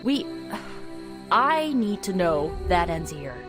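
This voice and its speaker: A woman's voice: fairly low pitch, very animated, slow pace, over music. A woman acting a defensive, outspoken superhero in her twenties in a video game, with a blunt, challenging manner. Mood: frustrated, challenging. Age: 20s.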